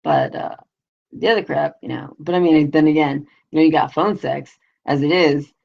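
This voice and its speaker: Gravelly, raspy woman rambling casually. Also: animated, normal speed, lo-fi sound. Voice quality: low, raspy voice. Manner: rambling, crude, constant "you know" fillers. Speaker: vlogger, show host. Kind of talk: casual live video chat.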